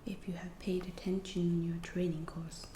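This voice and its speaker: A woman voicing a calm, detached female alien scientist in an ASMR roleplay, whispering softly in a measured, instructional way. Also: fairly low pitch, slight background noise, natural voice. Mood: calm, clinical. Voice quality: soft, breathy whispering. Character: calm, clinical female alien scientist examining an abducted human.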